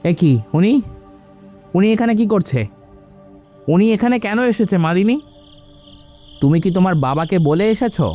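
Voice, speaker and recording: Young guy lover, pushy and urgent, a man voicing him, mellow tone, music under. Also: high voice, lively intonation, normal speed, long pauses. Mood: alarmed. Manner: urgent, pressing.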